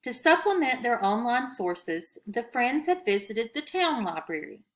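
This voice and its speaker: A woman narrating a children's story aloud in an expressive, read-aloud storyteller's style. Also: fairly high pitch, a little slow.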